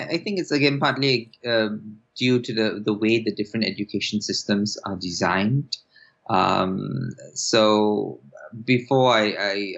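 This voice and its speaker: A man in his forties from Singapore, an applied linguist answering interview questions in a measured way with many fillers and restarts. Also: fairly low pitch, fairly bright tone. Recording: dull lo-fi sound.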